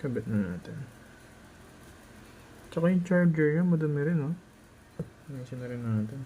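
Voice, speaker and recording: A man's voice: a little high, expressive, a little slow, phone-quality sound, slight background noise. A man with a Filipino accent narrating a hands-on repair tutorial as a technician, informal and instructive, often checking in with short tag questions.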